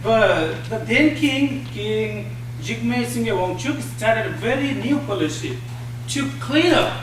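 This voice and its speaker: A high-pitched man, a Nepali speaker in his thirties, giving a personal testimony in halting, pause-filled phrases. He is picked up from a distance in a large, very echoey hall with a faint hum behind him. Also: expressive. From Bhutan. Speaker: refugee panelist.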